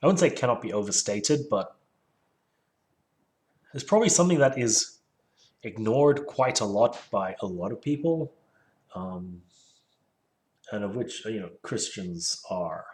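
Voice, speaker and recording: A man's voice: lowish voice, expressive, unhurried, pauses often, a little mellow. A man reading a book aloud and critiquing it casually, with sardonic, rambling asides. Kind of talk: casual solo commentary with read-aloud passages.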